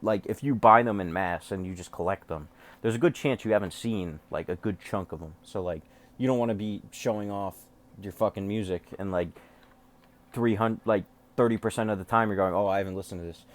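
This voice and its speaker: A man's voice: fairly low pitch, fairly quick. A man talking casually and offhandedly as a collector showing off his things, rambling and swearing freely. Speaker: music collector showing off cassette tapes.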